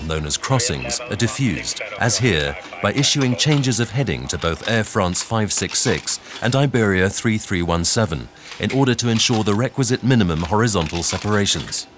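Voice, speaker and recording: A man reading scripted documentary narration in a measured, instructional way. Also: lowish voice, noisy background. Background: background music.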